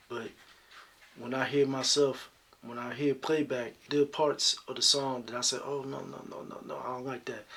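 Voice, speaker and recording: A man with a deep talking voice, answering interview questions in a relaxed, soft-spoken way with many fillers. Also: a little hiss or hum. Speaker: local singer guest.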